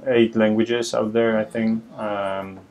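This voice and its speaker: A man with a Polish accent walks a room through a live coding demo. His voice sits on the lower side, and he talks haltingly, with frequent fillers and restarts. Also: flat delivery, darkish tone. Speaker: workshop presenter.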